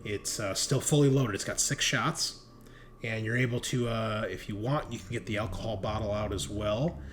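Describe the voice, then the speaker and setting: A man with a lowish voice works as game master for a tabletop roleplaying session. He describes things conversationally and swears casually. There is faint noise behind him. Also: steady tone, moderate pace, fairly bright tone.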